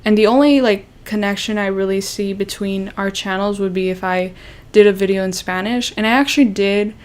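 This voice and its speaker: A woman's voice: medium pitch, fairly even intonation, fairly quick. A young woman from Canada chatting on a livestream, rambling with many fillers and restarts and sounding self-conscious. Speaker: YouTuber hosting a livestream. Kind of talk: casual livestream chat.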